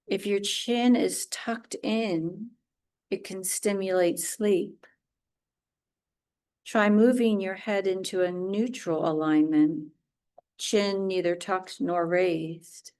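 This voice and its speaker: A woman teaching yoga nidra, guiding a meditation in a soothing, unhurried way with short, spaced phrases. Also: mid-range voice, steady tone, darkish tone. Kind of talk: guided relaxation meditation.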